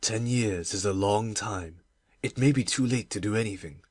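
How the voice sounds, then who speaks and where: A woman voicing a brooding, grieving middle-aged gentleman in an audiobook reading, speaking low, slow and pensive. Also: slightly rough, no echo, clean studio sound.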